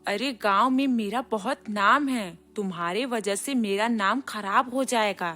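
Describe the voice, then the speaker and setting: A woman's voice: mid-range voice, a little slow, bright, forceful tone, faint music behind. A woman voicing a stern, proud village mother-in-law in a storybook cartoon, with an emphatic manner. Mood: scolding. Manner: scolding, emphatic.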